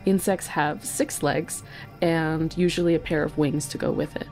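A woman's voice: low-pitched, fairly even intonation, moderate pace, darkish tone. A woman, a podcast guest, explaining things in a casual, nerdy conversational way. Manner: self-aware, nerdy explaining. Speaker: podcast guest, insect research technician. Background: background music.

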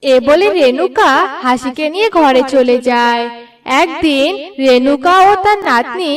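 A woman narrates a cartoon tale from a script. Her voice is high, and it sounds a little away from the microphone. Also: unhurried, recorded from a distance.

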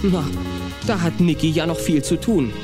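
A man narrating a scripted children's audio play as an animated storyteller. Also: fairly high pitch, moderate pace. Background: music.

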